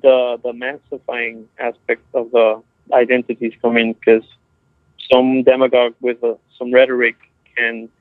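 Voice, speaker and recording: A man in a casual analytical discussion, speaking in halting, hesitant phrases. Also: medium pitch, completely flat delivery, dry recording, narrow, tinny audio. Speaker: podcast discussion participant.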